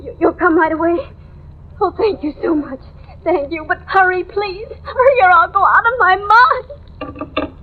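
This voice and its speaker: An actress playing a frantic, paranoid young housewife in a 1950s radio horror drama, shrill and tearful, with halting, pleading speech. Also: female voice. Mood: fretful, frantic. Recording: muffled old radio recording.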